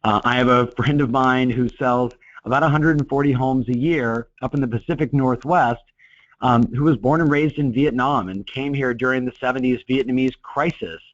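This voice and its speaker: A middle-aged man coaching real estate agents in a webinar, talking in an energetic, motivational way with lots of "you know" fillers. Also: mid-range voice, moderate pace, dry recording. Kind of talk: webinar talk. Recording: dull, dry lo-fi webinar audio.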